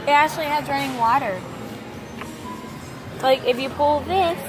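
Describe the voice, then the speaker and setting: A young girl with a slightly raspy voice, chattily reviewing toys on a handheld phone in a big store, often distracted. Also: high-pitched, a little slow, over music. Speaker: vlogger. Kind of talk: casual toy review vlog. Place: large indoor retail store. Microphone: handheld phone camera.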